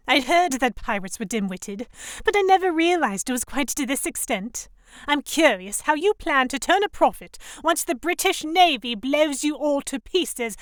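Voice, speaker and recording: A woman's voice: high voice, no echo, studio quality. A woman playing a defiant, sarcastic young Victorian English noblewoman held captive, narrating in formal first person for an audio drama. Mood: angry defiance. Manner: sardonic, defiant, formal diction. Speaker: narrator and lead voice actor.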